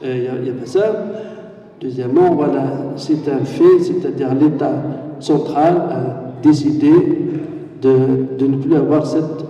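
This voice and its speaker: Man, panel speaker, dark voice, muffled, distant echoey hall. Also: mid-range voice, fairly even intonation, measured pace. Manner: enumerating points, hesitant. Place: conference hall.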